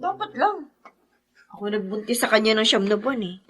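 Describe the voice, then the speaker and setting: A woman's voice: on the high side, big pitch swings, fairly quick, a bit bright, husky, dry recording, exaggerated voice. A Filipino woman playing a loving, long-suffering soldier's wife and new mother in an emotional drama, plaintive yet tender. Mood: resigned, loving. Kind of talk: emotional audio-visual drama acting.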